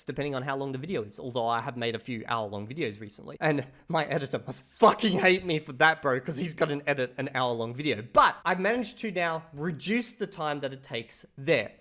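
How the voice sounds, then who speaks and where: A man's voice: a little high, fairly bright tone. A man, talking casually to camera about his routine, swearing freely and saying "bro" and "okay?" often. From Australia. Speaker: language-learning YouTuber.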